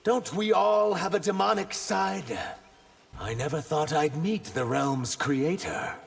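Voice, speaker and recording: An actor playing an earnest, wounded reptilian humanoid fighter in a video game, gravelly and questioning. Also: male voice, on the high side, slow pace. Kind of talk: video game dramatic dialogue. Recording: long echoing hall reverb, faint noise.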